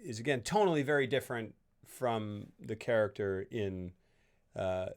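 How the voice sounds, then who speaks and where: A man's voice: lowish voice, lively intonation, moderate pace. A man from Costa Rica co-hosting a casual film discussion on a livestream, enthusiastic, often repeating words as he starts a point. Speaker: co-host.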